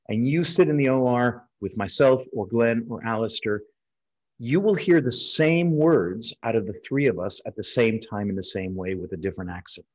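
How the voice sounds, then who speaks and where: An American man, middle-aged, lecturing as a surgeon in an informal, explanatory style with a slightly husky voice. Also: lowish voice, rough recording. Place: indoors, on a video call over slides.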